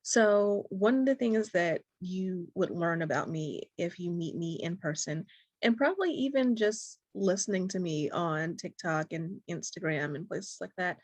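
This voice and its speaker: A young adult woman and dating coach, speaking softly and encouragingly in a direct-to-camera talk. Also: lowish voice, expressive, a little slow, no echo. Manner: soft-spoken, warm and encouraging.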